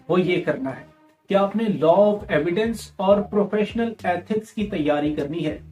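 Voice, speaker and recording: A woman's voice: medium pitch, darkish tone. A woman with a Pakistani accent giving instructional exam-preparation talk as a tutor, explaining and repeating key points with English terms mixed in.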